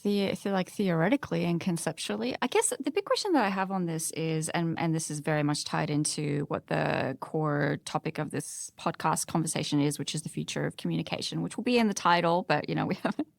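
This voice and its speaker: Low-pitched, creaky-voiced woman podcast host. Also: expressive, a little fast, dry recording. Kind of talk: conversational podcast discussion.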